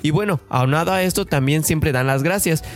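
A man's voice: fairly high pitch, brisk, faint music behind. A man co-hosting a podcast, chatting casually in a friendly, explanatory way. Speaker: podcast co-host.

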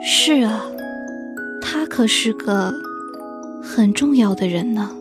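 Woman doing an immortal fox lady, cool n wistful, bit teasing, highish voice, music behind, audio drama. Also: lively intonation, slow pace. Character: centuries-old fox spirit woman, aloof, wistful, secretly fond.

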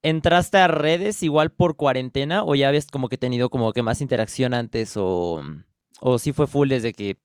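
A man with a Mexican accent hosting a casual podcast interview. His voice is smooth and clear, recorded dry with no room sound, and he talks in a loose, chatty way. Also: mid-range voice, moderate pace, no room sound. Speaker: podcast host.

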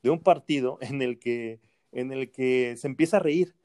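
A man's voice: medium pitch, moderate pace, a little mellow, no room sound, clean studio sound. A man, a sports journalist, reminiscing with anecdotes and quoting a friend in a raised voice. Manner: laughing anecdotes, quotes in a raised voice. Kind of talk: reminiscing in conversation.

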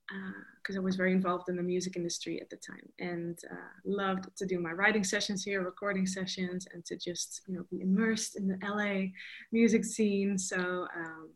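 A woman's voice: mid-range voice, fairly even intonation, moderate pace. A woman, a vocal coach guest chatting loosely in an interview with many fillers. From Netherlands. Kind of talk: casual interview chat.

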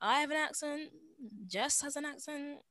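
A woman, co-hosting a podcast in casual, slangy chat full of animated storytelling and quoted asides. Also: high voice, normal speed, dry recording. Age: 20s. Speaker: podcast co-host.

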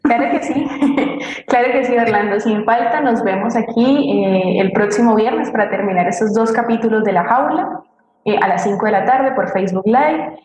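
A woman's voice: fairly high pitch, normal speed, a little mellow, slight background noise. A woman speaking off the cuff as a co-host, addressing the audience. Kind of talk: spontaneous sign-off to audience. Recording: very echoey room, music underneath.

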